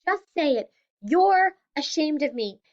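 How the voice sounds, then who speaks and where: A woman's voice: high voice, big pitch swings, moderate pace, muffled recording, pitched above the performer's own voice. A woman voicing a defiant teenage daughter. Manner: sharp, defiant, heated. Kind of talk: audiobook reading.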